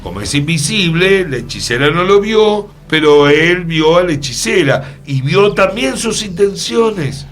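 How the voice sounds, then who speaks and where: A man's voice: high voice, sing-song, measured pace, hardly any pauses, fairly bright tone, voice pushed up, exaggerated voice. A man with a Buenos Aires accent playing a courteous, mysterious adventurer telling his tale in a whimsical radio play. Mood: explaining, earnest. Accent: Argentine, Buenos Aires. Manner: theatrical, storytelling. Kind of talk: radio theatre dialogue.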